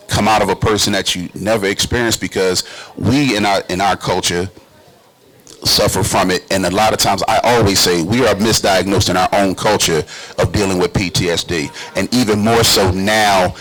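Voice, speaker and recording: Very low-pitched man hosting a talk show. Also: a bit bright, poor audio. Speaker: talk show host and stage actor. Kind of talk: casual talk show conversation.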